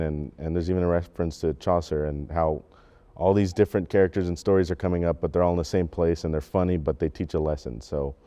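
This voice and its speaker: A man's voice: low voice, dark tone, clear, resonant tone. A young man, a student actor, reflecting casually in an interview. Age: teens to young adult.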